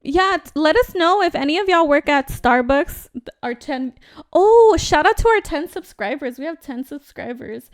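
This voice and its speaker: A woman with a high voice chats casually on a podcast. She is animated and talkative, and laughs easily. The recording is dry and close, with no room echo. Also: normal speed. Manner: chatty, animated, laughs often. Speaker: podcast co-host. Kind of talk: casual chat.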